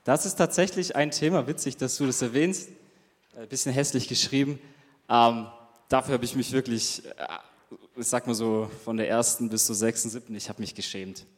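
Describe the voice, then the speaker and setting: A man's voice: medium pitch, moderate pace. A young man from Germany leading a youth group, talking casually with lots of fillers and questions to the group. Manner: casual, chatty, many fillers and rhetorical questions. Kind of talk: interactive talk to a youth group. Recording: reverberant hall sound.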